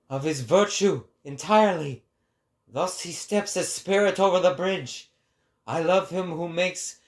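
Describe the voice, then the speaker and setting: Guy doing a solemn impassioned prophet, dramatic reading, super slow and emphatic, slightly high voice. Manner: declamatory, slow, emphatic, deliberate phrasing. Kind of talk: dramatic reading of philosophical prose.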